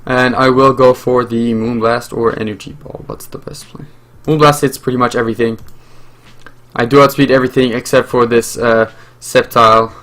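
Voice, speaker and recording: A man's voice: mid-range voice, steady tone, moderate pace, fairly bright tone. A young man whose first language is French, hosting his own channel and giving live, rambling commentary over a game with lots of fillers and restarts. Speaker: gaming channel host.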